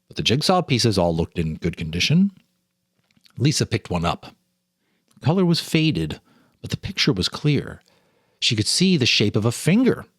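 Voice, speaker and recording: A man's voice: fairly low pitch, slightly rough, dry recording, clean studio sound. A man reading a ghost story aloud as a storyteller, with a theatrical, suspenseful delivery. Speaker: storyteller, host.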